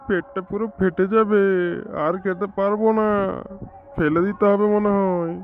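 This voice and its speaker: A man's voice: sits high, fairly even intonation, moderate pace, lightly acted. Man as a tinny, bossy cartoon village fellow. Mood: jolly. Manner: colloquial, commanding, repeats short orders. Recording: thin phone-like sound with faint noise.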